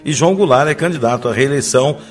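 A man's voice: medium pitch, fast talker, soft background music, close to an everyday voice. A man playing a confident former president talking politics in a radio drama. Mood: assured. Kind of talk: amateur radio drama acting.

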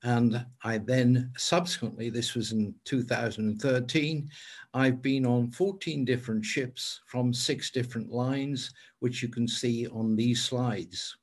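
An older man with a measured, formal manner, presenting his own cruise lecturing work over a webcam. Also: mid-range voice, steady tone.

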